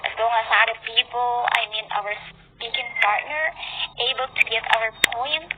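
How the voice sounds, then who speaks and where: A woman's voice: fairly high pitch, normal speed. A woman with an Indonesian accent sharing an upbeat, earnest opinion in a voice message. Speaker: discussion participant. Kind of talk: prepared voice message on a topic. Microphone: phone voice message. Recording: phone-like audio with clear noise.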